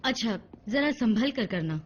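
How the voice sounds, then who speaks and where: A sociable, fussing mom in an animated dub. Her voice is high and swings up and down as she questions everyone, on a slightly dull recording. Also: unhurried, a little hiss or hum. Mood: concerned. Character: friendly, fussing mother, a hospital nurse. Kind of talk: dubbed cartoon acting.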